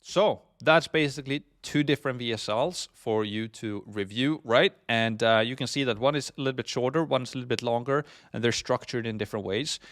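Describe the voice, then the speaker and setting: A man's voice: mid-range voice, a little fast, few pauses, a bit bright. Swedish-accented man, course instructor, easygoing, heavy on fillers. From Sweden. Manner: frequent fillers, "essentially" and "right?". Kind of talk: recorded marketing lesson.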